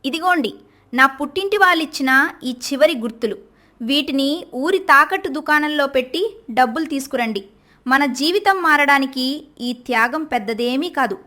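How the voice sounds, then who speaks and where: A woman playing a devoted farmer's wife, high-voiced. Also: lively intonation, normal speed, bright, forceful tone. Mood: resolute. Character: poor farmer's devoted wife, gentle but resolute.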